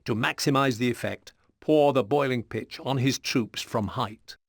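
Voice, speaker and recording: A man giving formal, measured, serious scripted narration for a medieval war game briefing. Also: medium pitch, vocal fry.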